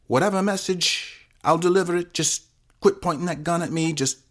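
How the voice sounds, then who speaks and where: A scared man begging for his life, acted out by a man. The voice jumps high above the reader's own, urgent and pleading, in a crime serial. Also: normal speed, lightly acted. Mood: frightened. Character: frightened man pleading at gunpoint.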